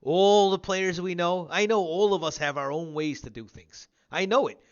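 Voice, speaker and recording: A man's voice: sits high, moderate pace, clear, resonant tone, dull audio. A man of about fifty hosting a casual gaming interview, talking in a rambling, repetitive, emphatic way. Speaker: show host interviewing a guest.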